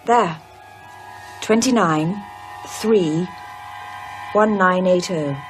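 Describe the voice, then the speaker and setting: A woman's voice: on the low side, drawn-out words, soft background music. A woman playing an anxious, solemn widow haunted by a curse in an audio horror drama.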